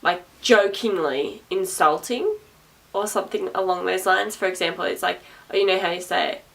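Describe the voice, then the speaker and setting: A young woman with a naturally loud, projecting voice rambles to camera. Her tone sits low with a gravelly fry, and she sounds a little distant from the mic. She is chatty, sarcastic and laughs easily. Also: moderate pace. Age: young adult. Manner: sarcastic, chatty, repeats herself, laughs often. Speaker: vlogger. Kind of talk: rambling casual talk to camera.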